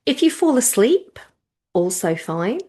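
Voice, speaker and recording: A woman teaching yoga nidra, giving informal guided relaxation instructions in a gentle, reassuring manner with unhurried phrasing and pauses. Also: mid-range voice, big pitch swings, breathy edge.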